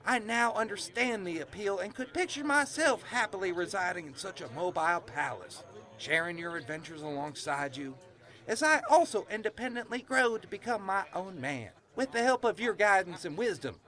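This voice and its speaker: A man's voice: sits high, expressive, normal speed, pushed and bright. A man playing an eager farm boy in a comic audio drama, speaking in grand, effusive, formal phrases. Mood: earnest, admiring. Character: eager, high-voiced farm boy, florid and earnest.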